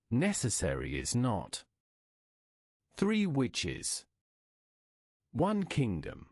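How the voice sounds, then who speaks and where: A man reading a scripted bedtime story in a measured, wry storytelling manner. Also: mid-range voice, darkish tone, dry recording, studio quality.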